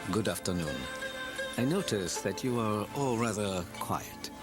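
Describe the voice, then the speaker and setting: A man giving a formal spoken address, with loud music behind him. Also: fairly low pitch, expressive, unhurried.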